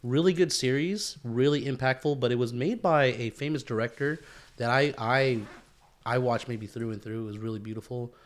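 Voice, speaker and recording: A man's voice: mid-range voice, moderate pace. A man chatting casually as a podcast co-host, using bro-ish slang and swearing.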